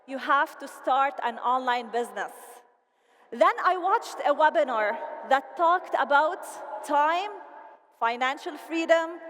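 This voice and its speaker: A woman with a Lebanese accent giving an animated, playful motivational speech at a convention and rallying the crowd. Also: sits high. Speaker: convention speaker. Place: large convention hall. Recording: reverberant hall, faint ambience.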